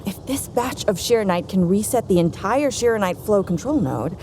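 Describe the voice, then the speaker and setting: An actress playing a composed, earnest woman researcher in a video game, measured and explanatory in delivery. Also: medium pitch, lively intonation, mellow, slight background noise, natural voice. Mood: intrigued, explaining. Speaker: game character.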